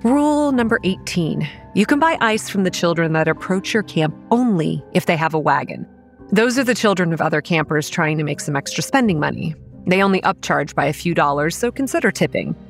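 Faint music sits behind a young female campground manager in a horror audio drama. Her voice is low and measured, telling eerie things in a pragmatic, wry, matter-of-fact way. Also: animated, gravelly. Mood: firm instruction. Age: young adult. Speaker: narrator and lead character. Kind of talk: first-person scripted horror narration and dialogue.

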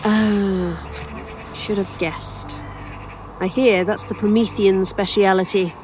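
A woman's voice: mid-range voice, steady tone, slow pace, music in the background, natural voice. A woman playing an inquisitive, wry author in an audio drama, rambling and musing as she talks. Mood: wry, hesitant.

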